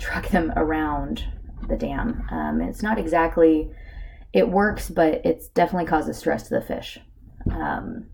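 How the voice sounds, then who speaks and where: A woman with a hoarse voice from a cold gives a casual narrated slideshow presentation, with lots of filler words. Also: lowish voice, darkish tone. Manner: casual, with many filler ums. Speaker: student presenter.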